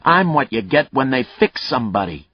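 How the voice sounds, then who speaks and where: A man's voice: medium pitch, very animated, measured pace, husky, dry recording, highs cut off, pitched above the performer's own voice. A male narrator voicing a taunting, unhinged fugitive killer in an audiobook, with a crude, swaggering manner. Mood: growling.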